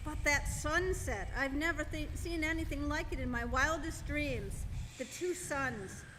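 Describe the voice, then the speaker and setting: An actor playing an earnest, homesick human space traveller in a live radio play. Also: male voice, very high-pitched, a little slow, music in the background. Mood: wistful. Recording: noisy, reverberant hall.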